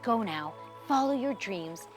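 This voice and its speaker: A kind, wise fairy-tale princess , voiced by a woman reader. She speaks solemn encouragement with a very expressive rise and fall, with music playing faintly behind. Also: medium pitch, measured pace, natural voice. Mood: kindly, solemn.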